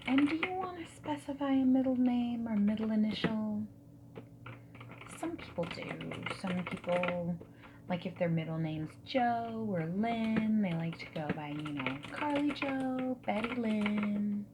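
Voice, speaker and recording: A woman playing a friendly, whimsical dating-service consultant in a gentle ASMR roleplay, soft-spoken and breathy, often slipping into a whisper. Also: medium pitch, lively intonation, close to an everyday voice. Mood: friendly, attentive. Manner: gentle, reassuring, chatty, unhurried. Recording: faint background hiss, keyboard typing. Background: mechanical keyboard typing.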